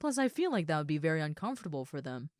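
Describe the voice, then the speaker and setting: A woman playing a big, friendly ogre roommate in an audio roleplay, chatting casually and gently to the listener. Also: low voice, very animated, racing, no room sound, clean studio sound. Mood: warm, reassuring. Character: huge muscular red female ogre roommate, friendly and easygoing.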